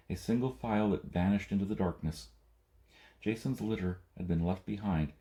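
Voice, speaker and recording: Guy reading an audiobook story, lowish voice, really mellow and dark. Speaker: audiobook reader. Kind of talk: scripted story narration.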